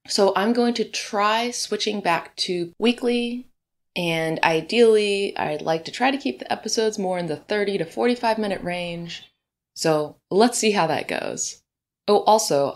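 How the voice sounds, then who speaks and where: Woman, podcast host, slightly low voice, morning hoarseness, chatty, conversational asides. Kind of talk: solo podcast monologue. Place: home room, birds outside.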